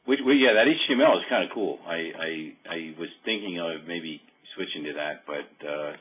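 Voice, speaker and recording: A man giving an informal software walkthrough, explaining in a halting, hesitant way. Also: sits low, slight background noise. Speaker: software demonstrator. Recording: dull phone-like conference-call audio.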